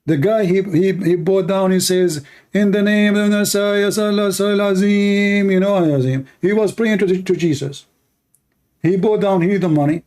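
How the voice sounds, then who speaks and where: A man with Arabic as his first language hosts a live religious call-in show. His voice is fairly high and mellow, and he debates conversationally, pressing his point with repeated questions. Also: fairly even intonation, moderate pace. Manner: insistent, questioning, repeats himself.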